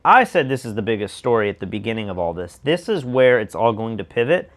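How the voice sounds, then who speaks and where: An American man co-hosting a casual discussion show, speaking emphatically with a slightly raspy voice and throwing out rhetorical questions. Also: lowish voice, big pitch swings, fast. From United States. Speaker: show co-host.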